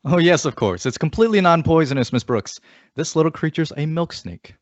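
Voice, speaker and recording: A man playing a shy, slow-on-the-uptake teacher with a mild, hesitant manner in an old-time radio comedy. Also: fairly high pitch, expressive, lo-fi sound, lightly acted. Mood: reassuring.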